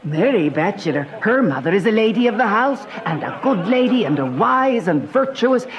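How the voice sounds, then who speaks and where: A woman's voice: medium pitch, very animated, moderate pace, dull audio, crowd noise, close to an everyday voice. Woman acting a bustling, lilting old nurse. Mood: fussing. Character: talkative, fussing older nurse. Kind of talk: Shakespearean radio drama acting.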